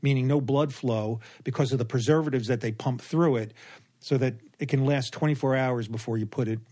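A man's voice: mid-range voice, brisk, warm tone. Middle-aged man explaining things matter-of-factly. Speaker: doctor, podcast guest. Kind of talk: casual explanatory talk.

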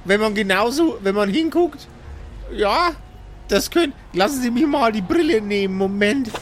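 A man's voice: high voice, lively intonation, normal speed. A man voicing a tiny, nosy old woman in an improvised audio role-play, folksy and chatty, repeating herself.

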